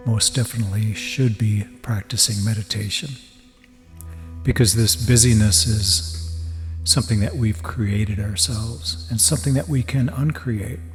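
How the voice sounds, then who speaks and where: A man speaks as a meditation teacher, guiding listeners with measured, deliberate phrases. His medium-pitched voice carries a long reverberant tail. Faint music plays behind him as he leaves pauses between thoughts. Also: a little mellow.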